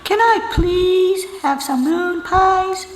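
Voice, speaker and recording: A man voicing an eager, hungry young boy who shouts with excitement in a storybook reading. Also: extremely high pitch, slow, faint music behind, voice pushed up. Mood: excited shouting. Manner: excitable, exclamatory.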